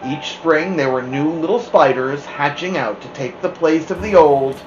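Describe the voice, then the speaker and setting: A woman narrating a children's story aloud as a host, in an expressive storytelling style. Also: low-pitched, moderate pace, muffled recording, faint music behind. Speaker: storybook reader, podcast host. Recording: roomy, echoing room sound.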